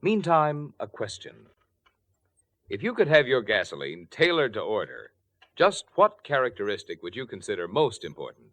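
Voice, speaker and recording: Male 1940s radio announcer, bright and forceful, lively intonation, sponsor-spot delivery. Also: lowish voice, moderate pace, dry recording.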